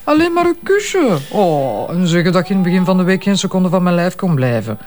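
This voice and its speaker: Animated, teasing Flemish wife. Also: female voice, on the low side, fairly quick. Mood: playful, flirty. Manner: playful, colloquial. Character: teasing, self-assured wife of a police detective. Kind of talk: naturalistic radio drama dialogue.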